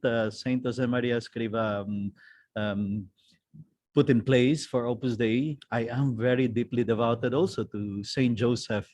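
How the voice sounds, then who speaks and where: A man in his fifties from the Philippines, a teacher telling his life story in an interview, pausing on fillers and chuckling often. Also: mid-range voice, a little mellow, no echo. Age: 50s. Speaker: guest, teacher and character educator. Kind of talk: recorded online interview, candid personal testimony.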